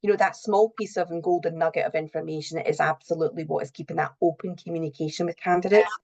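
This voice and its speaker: A woman's voice: deep voice, fairly even intonation, fast, vocal fry, dark tone, highs cut off. A middle-aged woman giving earnest, explanatory answers as a guest in a conversational interview. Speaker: interview guest, recruitment and HR professional.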